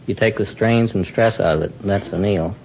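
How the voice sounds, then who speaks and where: A man's voice: on the low side, normal speed, creaky, very noisy. A man answering plainly and hesitantly as a contestant on a muffled 1950 radio quiz broadcast. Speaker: quiz show contestant, glassblower.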